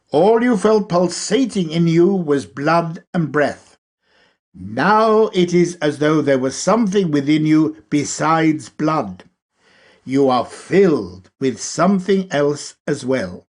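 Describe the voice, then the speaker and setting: A man reading a spiritual lecture aloud in a measured, emphatic manner. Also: a little high, a little mellow. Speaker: reader of a lecture.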